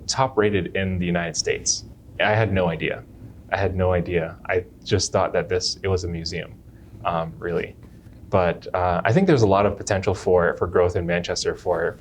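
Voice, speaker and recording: A man's voice: low-pitched, slight background noise. A man from Jamaica, a chiropractor, answering oral history interview questions in an earnest way, often repeating words as he thinks.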